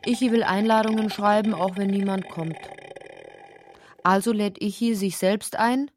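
An actress narrating a strange, inward literary radio drama in deliberate, short emphatic phrases. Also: female voice, mid-range voice, fairly even intonation, a little mellow, slight background noise, close to an everyday voice. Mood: reflective. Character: woman narrator of a strange inward dreamlike tale.

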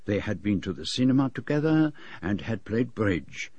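Fastidious Belgian detective, slightly husky. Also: male voice, fairly low pitch, sing-song, darkish tone, muffled recording. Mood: measured narrating. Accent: Belgian French. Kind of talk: radio drama acting.

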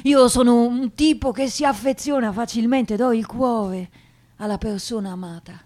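An actress playing a lonely, self-pitying middle-aged Italian woman, confiding haltingly in a theatrical radio drama monologue. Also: fairly high pitch, a little mellow, lightly acted. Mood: bitter, self-pitying.

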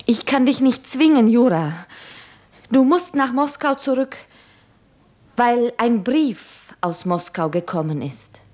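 A woman's voice: a little high, animated, slow pace, darkish tone. An actress playing an anxious, insistent woman in love, speaking earnestly and with subdued urgency in a 1950s German radio drama. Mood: urgent, pleading.

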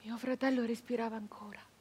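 An actress playing a grief-stricken 19th-century Italian peasant woman turned brigand, in an intense, halting theatrical monologue with repeated words. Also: fairly high pitch, level tone, a little mellow, husky. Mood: shaken, grieving. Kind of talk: dramatic theatrical monologue, radio drama.

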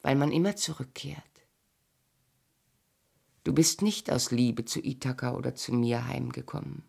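An actress in a German radio drama playing a bitter yet tender aging wife of a homecoming hero. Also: female voice, extremely low pitch, unhurried, creaky, a little mellow, breathy edge, full character voice. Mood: grave, knowing.

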